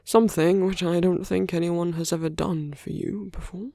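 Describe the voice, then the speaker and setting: A man playing a soft-hearted villain wooing his heroic enemy in an intimate ASMR roleplay, speaking slowly and deliberately in a breathy hush that often slips into whispers. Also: high voice, steady tone, no room sound. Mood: earnest, gentle. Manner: slow, deliberate, hushed, often slipping into whispers. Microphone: close, dry microphone.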